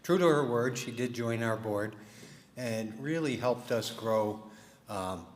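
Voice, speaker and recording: A man giving an informal, halting speech as a presenter at an awards dinner. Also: mid-range voice. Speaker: organization host and award presenter. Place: large room at a live fundraising event. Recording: echoey hall, audience noise.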